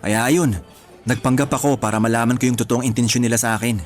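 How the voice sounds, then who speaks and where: An actor playing a gentle, sincere young man in love in a Filipino radio romance, earnest and lightly teasing. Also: medium pitch, steady tone, fast talker, natural voice. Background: music.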